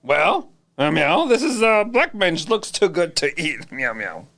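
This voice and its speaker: A woman voicing an awkward, polite young gentleman cat, hesitant and stammering, with meows between phrases. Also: fairly low pitch, very animated, measured pace, exaggerated voice. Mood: nervous, chatty.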